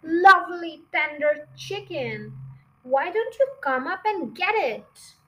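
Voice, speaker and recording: A gloating, bossy farmer from a children's storybook, played by a woman reader. The voice stays very high and unhurried while taunting and scheming. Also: animated, full character voice. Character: gloating, scheming male farmer hunting a fox.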